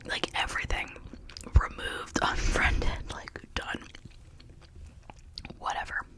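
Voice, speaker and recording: A person speaking in a breathy, unvoiced whisper, rambling in a confiding, personal way for an ASMR video. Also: takes it slowly.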